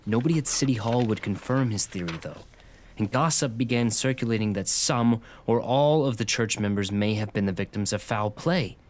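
An actor playing an earnest, haunted man in a radio drama, intense and convinced of a dark mystery. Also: on the low side, moderate pace, a little hiss or hum, close to an everyday voice. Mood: grave, recounting. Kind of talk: radio drama dialogue and storytelling.

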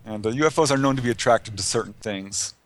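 A man's voice: on the low side, fast, a little hiss or hum. A man answering interview questions as a researcher, in a hesitant, conversational way. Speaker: interview guest, UFO researcher.

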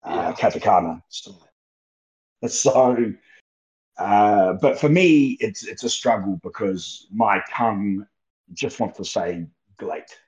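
A young Australian man, a guest wrestler, talking in a relaxed, rambling way and laughing easily, heard over a remote call. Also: on the low side, slow. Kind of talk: casual interview chat. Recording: muffled lo-fi call audio.

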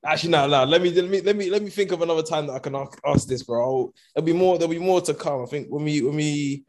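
A man's voice: fairly high pitch, quick pace, a little mellow. A young man around twenty co-hosting a podcast, easygoing and slangy in casual chat. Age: young adult. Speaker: podcast co-host.

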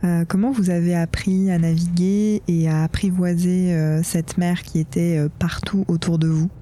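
A woman interviewer whose first language is Portuguese, asking thoughtful questions with soft pauses. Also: on the low side, flat delivery. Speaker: radio interviewer.

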